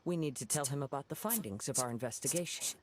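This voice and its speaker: A man voicing a calm, poetic young wandering swordsman in a video game, soft-spoken and formal. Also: mid-range voice, fast talker, a little hiss or hum, lightly acted.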